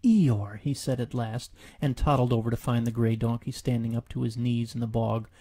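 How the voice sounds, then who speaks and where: A man narrating a storybook as an author reading aloud, measured and expressive. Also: mid-range voice.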